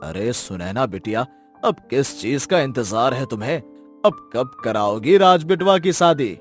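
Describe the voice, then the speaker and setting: Casting: man playing elderly village uncle, familiar and kindly, animated intonation, cartoon tale with soft music. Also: mid-range voice, a little slow, lightly acted. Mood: genial, coaxing. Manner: familiar, avuncular. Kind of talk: Hindi animated moral story dialogue.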